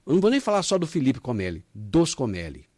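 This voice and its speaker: A man's voice: mid-range voice, wildly swooping pitch, quick pace, a little mellow, no room sound. A man hosting an interview, talking in a friendly, chatty way and calling his guest "cara". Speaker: podcast host, interviewer. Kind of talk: casual interview conversation.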